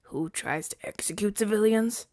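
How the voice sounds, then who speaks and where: A man's voice: sits high, moderate pace, no echo. Male actor as fantasy rebel leader, earnest, defensive, exasperated, conversational stammer, audio roleplay, close and dry sound. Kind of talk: ASMR-style audio roleplay acting.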